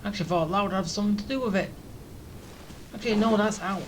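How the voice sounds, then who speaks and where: A woman's voice: mid-range voice, quick pace, a little hiss or hum, poor audio. A woman doing casual game commentary, thinking aloud in short reactive remarks. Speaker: gameplay commentator. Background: loud game music.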